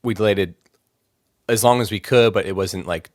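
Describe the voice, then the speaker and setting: Low-voiced rambling man in an interview. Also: moderate pace, dry recording. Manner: relaxed and rambling, many fillers and restarts. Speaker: band vocalist, podcast guest. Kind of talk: casual interview chat.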